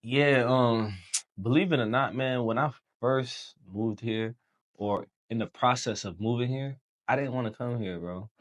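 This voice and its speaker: A young man from Haiti, a Creole speaker, telling his story as a podcast guest in relaxed slangy chat. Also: medium pitch, measured pace. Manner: relaxed storytelling, slangy, says bro often. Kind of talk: casual chat.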